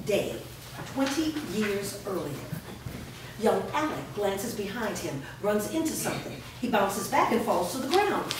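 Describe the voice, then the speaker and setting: A woman reading stage directions as scripted narration at a staged play reading. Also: medium pitch. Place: indoor performance room before a small audience. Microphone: far camera. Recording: echoey hall, distant mic, noisy.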